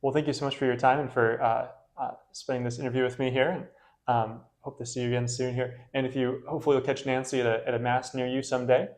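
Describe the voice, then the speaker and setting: A man's voice: mid-range voice, level tone, fast talker, a little mellow. A man, a parish priest, hosting a casual interview, friendly and hesitant with many fillers.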